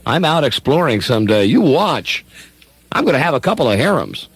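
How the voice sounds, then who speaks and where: A man's voice: mid-range voice, big pitch swings, a little fast, breathy edge, dry recording. A man playing a twelve-year-old drugstore boy in a comedic radio play. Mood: cocky, boastful.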